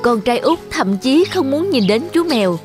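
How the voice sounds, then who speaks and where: A woman's voice: sits high, sing-song, normal speed. A woman narrator reading a scripted fairy tale for children. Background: music.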